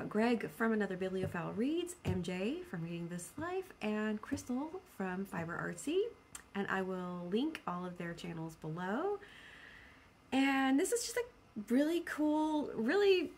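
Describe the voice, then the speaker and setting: Chatty, self-deprecating woman vlogger, noisy background. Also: a little high. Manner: self-deprecating, playful, rambling. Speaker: book vlogger. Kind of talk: spontaneous casual chat.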